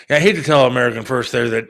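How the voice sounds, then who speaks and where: A man's voice: medium pitch, a bit bright. A man co-hosting a casual talk show, speaking in an earnest, slightly hesitant, peacemaking way.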